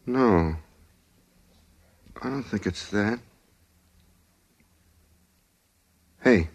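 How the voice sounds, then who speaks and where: A man's voice: animated, slow pace, creaky, breathy edge, full character voice. An American man playing a desperate, hard-boiled driver held at gunpoint in a 1940s radio drama, with a wry, pleading manner. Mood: subdued, weary. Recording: noisy 1940s radio transcription, engine rumble.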